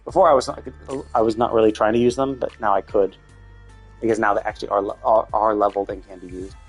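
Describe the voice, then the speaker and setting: A man's voice: lowish voice, fairly even intonation, fast talker, breathy edge, faint music behind, rough recording. A man chatting casually on a gaming livestream in a rambling, conversational way, with loose, casually profane talk. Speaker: live streamer.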